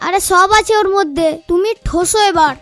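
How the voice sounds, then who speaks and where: A tiny, very shrill cartoon kid works as a scrappy street seller. The voice is sassy and quick to snap, sliding between sales patter and muttered asides, over a music bed. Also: a bit bright. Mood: indignant, sassy. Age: child. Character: cheeky, scrappy child street-food seller, short-tempered hustler. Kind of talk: Bengali comic cartoon dialogue.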